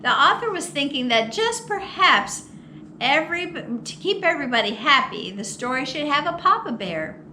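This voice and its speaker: High, bright woman storyteller, slow and expressive. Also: a little hiss or hum. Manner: expressive, theatrical, with mock exasperation and drawn-out words. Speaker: storytime host at a public library. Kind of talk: animated read-aloud of a children's picture book.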